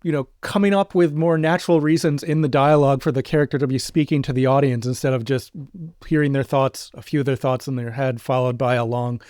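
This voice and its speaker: A man co-hosting a podcast in casual, halting chat, introducing an audio drama episode. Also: mid-range voice, steady tone, a little mellow, no room sound, studio quality. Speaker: podcast co-host.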